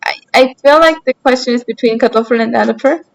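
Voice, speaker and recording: A woman's voice: on the high side, fast, gravelly, rough recording. A woman from Austria with an Austrian German accent and German as her first language, chatting casually with her stream chat while she plays, self-deprecating.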